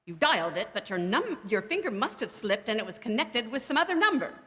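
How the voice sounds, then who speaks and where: Radio play role: agitated, demanding married woman, high-pitched and exclamatory, long hall reverb. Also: very animated, fairly quick, fairly bright tone. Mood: exasperated. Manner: agitated, insistent, haughty, exclaiming. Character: anxious, imperious, demanding married woman on the telephone. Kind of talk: stage radio drama acting. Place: theatre stage before an audience. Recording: live theatre stage with long reverb.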